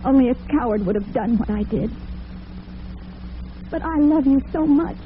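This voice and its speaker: Anxious young woman in radio play, highish voice, hesitant, noisy narrow old recording. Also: expressive, moderate pace. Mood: anxious, resolute. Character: timid, troubled, proud young working woman. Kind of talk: old-time radio drama acting.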